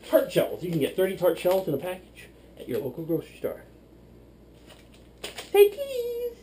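A man's voice: high voice, huge pitch swings, moderate pace, warm tone, a little hiss or hum. A drunk young man rambling and playing silly while he bakes in a small home kitchen, recorded by a camera across the room. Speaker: vlogger. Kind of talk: drunken cooking demo vlog.

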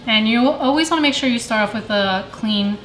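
A woman calmly explaining a dessert recipe step by step in a casual home cooking video. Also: a little high, a little fast. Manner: calm step-by-step explaining with fillers. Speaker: home cook guest. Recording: echoey room, faint music.

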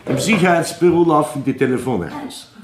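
An actor playing a methodical, courteous Austrian police chief in a radio crime drama, speaking officially and deliberately. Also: male voice, on the high side, animated, noisy background, lightly acted. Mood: formal, patient. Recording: roomy, reverberant radio play sound.

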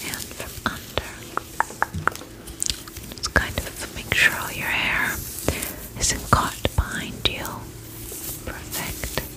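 Very slow whispering ASMR woman. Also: rough recording. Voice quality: breathy, unvoiced whisper. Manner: slow, soothing, attentive. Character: attentive, gentle vampire spa attendant. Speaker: ASMR roleplay host. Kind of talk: whispered ASMR spa roleplay.

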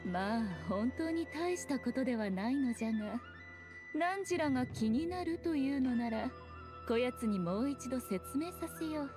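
High-voiced, haughty fox shrine maiden. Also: female voice, lively intonation, moderate pace, over music. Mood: nonchalant. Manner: archaic, aloof, playful lordly speech. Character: elegant, teasing, haughty fox shrine maiden of great age. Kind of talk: Japanese anime game voice acting.

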